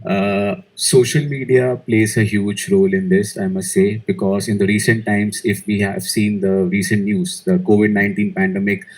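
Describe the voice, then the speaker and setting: Hesitant man with a low voice. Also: little pitch movement, normal speed, hardly any pauses, low-quality recording. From India. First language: Malayalam. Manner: hesitant, many fillers and restarts. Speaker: IELTS speaking test candidate. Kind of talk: exam interview answers.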